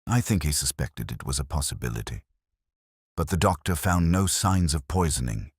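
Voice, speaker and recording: A man reads as an analytical Victorian detective in a storybook. The voice is extremely deep and husky, moving expressively in pitch. He reasons coolly, with deliberate, precise emphasis. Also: expressive, dry recording, studio quality, heavily acted. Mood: probing, deliberate.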